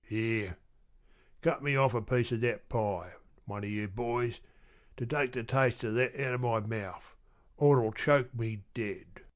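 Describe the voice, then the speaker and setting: A man's voice: mid-range voice, takes it slowly, natural voice. A man reading a surly, bitter housebreaker. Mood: disgusted. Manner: growling, sullen, demanding. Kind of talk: audiobook character reading.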